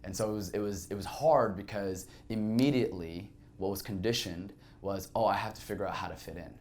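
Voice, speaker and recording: A man with a deep, low voice tells his own story as an interview guest. He was born in Saudi Arabia and speaks Arabic as his first language. He talks reflectively and conversationally over a faint low rumble. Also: brisk, a little mellow. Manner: reflective, conversational, many fillers. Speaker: podcast guest. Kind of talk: candid personal storytelling.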